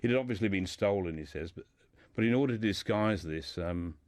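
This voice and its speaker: A man's voice: lowish voice, brisk, a little mellow, dry recording. A man speaking as a guest in a radio discussion, telling a life story informally and often repeating words as he builds his sentences.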